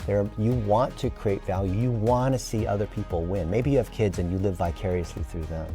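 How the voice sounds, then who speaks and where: A man's voice: lowish voice, fairly quick, warm tone, soft background music. A man in his early fifties explaining things at length in an enthusiastic, earnest, emphatic conversational way. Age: early 50s.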